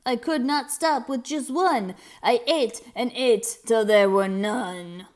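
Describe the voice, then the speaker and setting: A woman voicing a sheepish, apologetic male toad from a storybook, with croaking interjections. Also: fairly high pitch, slow pace, darkish tone.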